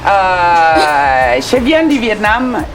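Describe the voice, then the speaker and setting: A woman's voice: medium pitch, big pitch swings, very slow, music in the background. A middle-aged woman being interviewed, talking conversationally with many hesitations and restarts. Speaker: interview guest.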